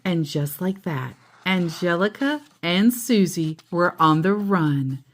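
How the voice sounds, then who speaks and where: Woman reading a storybook with lively, swooping intonation. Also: fairly low pitch, slow pace. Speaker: storyteller reading a children's book aloud. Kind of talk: expressive storybook read-aloud with casual asides.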